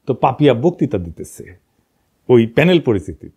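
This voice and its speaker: A man's voice: mid-range voice, very animated, normal speed, mellow, breathy edge. A middle-aged man with a northern Bangladeshi accent, giving informal, mocking political commentary full of stories and rhetorical questions. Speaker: political commentator, online host.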